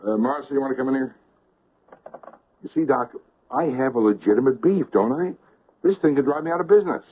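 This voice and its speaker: An actor playing a harried, defensive hotel manager in an old radio drama. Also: male voice, medium pitch, slight background noise, natural voice. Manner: defensive, hurried. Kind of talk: 1970s radio drama acting. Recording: tinny band-limited old radio broadcast.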